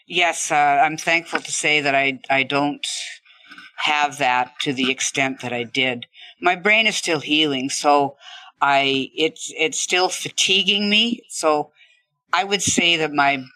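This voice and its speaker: A woman's voice: sits low, slow pace, pushed and bright, no room sound, low-quality recording. A woman speaking as an interview guest, telling personal anecdotes in a conversational, emphatic way. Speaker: interview guest, stroke survivor.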